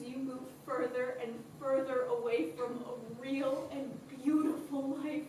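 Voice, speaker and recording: A despairing young woman, tired of dull work, pours out her anguish in a high voice, as an actress plays her on stage. Her slow, unhurried delivery rings through a very echoey hall, picked up from a distance with faint hiss. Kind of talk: stage play acting. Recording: echoey stage recording from far off.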